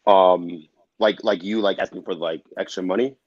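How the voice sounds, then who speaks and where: A man speaking casually as an interview guest, giving informal advice and repeating his points for emphasis, on low-fidelity audio. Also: fairly even intonation, moderate pace, darkish tone, no echo, dull audio. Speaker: interview guest in the trucking business.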